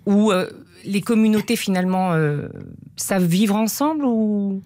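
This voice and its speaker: An interviewer host who asks brisk, prompting questions in a broadcast interview. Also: medium pitch, a little mellow.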